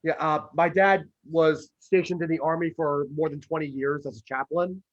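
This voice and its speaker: Higher-voiced man on a dull, lo-fi call. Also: fairly even intonation, moderate pace. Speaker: actor, guest. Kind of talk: casual, conversational interview answers. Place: remote video call. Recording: dull lo-fi video call audio.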